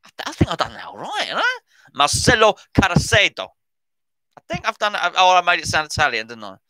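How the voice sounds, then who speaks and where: A man's voice: high-pitched, wildly swooping pitch, quick pace, pushed and bright, dry recording. A middle-aged man, rambling and correcting himself as he chats about football transfer news as a podcast host. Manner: chatty, rambling, self-correcting. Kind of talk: casual commentary on transfer news.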